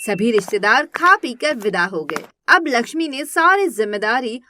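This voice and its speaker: A woman's voice: a little high, animated, normal speed, noisy background. A woman narrating a scripted moral story as its storyteller.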